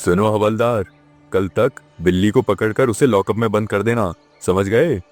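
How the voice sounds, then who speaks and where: A man's voice: lowish voice, normal speed, darkish tone, soft background music, lightly acted. A man playing a weary, exasperated village police station chief who grumbles sarcastically in a children's cartoon. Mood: scolding. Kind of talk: children's cartoon moral story acting.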